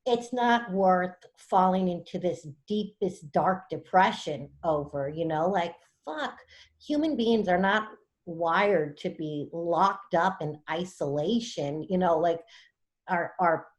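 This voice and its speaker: Lower-voiced woman, a guest in casual chat. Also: lively intonation, slow. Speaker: podcast guest. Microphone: video call.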